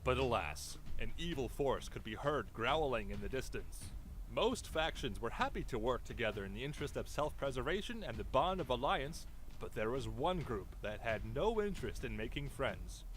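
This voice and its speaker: A man's voice: medium pitch, big pitch swings, normal speed, fairly bright tone, slight background noise, rough recording. A man reading a scripted documentary-style video narration with theatrical, suspenseful storytelling. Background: background music.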